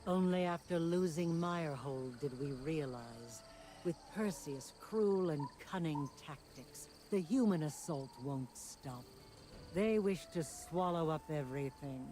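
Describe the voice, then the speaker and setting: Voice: mid-range voice, mellow, a little hiss or hum. A solemn beastfolk elder.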